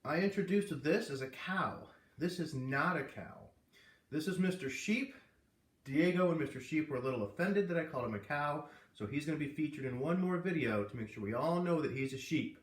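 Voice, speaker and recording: A man reading a children's novel aloud in an expressive, animated way, with casual asides. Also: on the high side, fairly quick. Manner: animated, puts on character voices. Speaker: read-aloud host. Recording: roomy, distant microphone.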